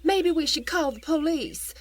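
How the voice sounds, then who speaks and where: Actress as panicked, sharp-tongued conspirator, high register, agitated; radio play. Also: female voice, expressive, a little slow. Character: panicked, snappish woman caught in a crime. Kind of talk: audio drama acting. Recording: music under the voice.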